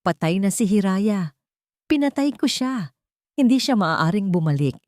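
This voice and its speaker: A woman's voice: medium pitch, animated, a little slow, darkish tone, no echo, lightly acted. Male officer, read by a woman: proud, rattled, guilt-ridden, tense delivery, dry storybook recording. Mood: harsh, defensive. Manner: tense, snapping or faltering.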